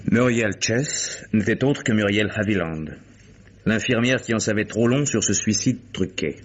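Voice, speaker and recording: An actor playing an insistent, coolly sardonic private detective in a vintage radio crime drama. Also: male voice, fairly low pitch, moderate pace, close to an everyday voice. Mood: probing. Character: dogged hired private detective, probing and insistent. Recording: dull lo-fi vintage radio recording.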